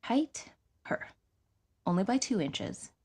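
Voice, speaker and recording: A woman reading scripted fiction as an audiodrama narrator, with a measured, literary delivery. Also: medium pitch, very animated, mellow, husky.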